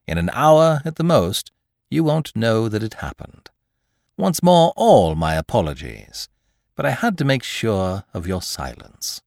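A man voicing a suave gentleman thief in an audiobook, jaunty, mocking and grandly courteous. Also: on the low side, very animated, a little slow, gravelly, no room sound, clean studio sound, heavily acted.

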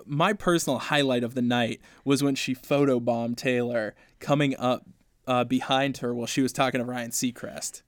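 A man's voice: mid-range voice, moderate pace, dry recording. Playful male podcast host, dry close sound. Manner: playful, laughs often, animated when quoting. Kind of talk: casual fan podcast chat.

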